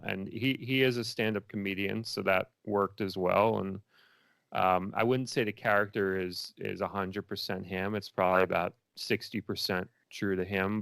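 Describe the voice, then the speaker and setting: A man's voice: fairly low pitch, creaky, fairly bright tone, clean tone, no room sound. A man speaking casually as an interview guest, a filmmaker who often repeats phrases as he builds his thoughts.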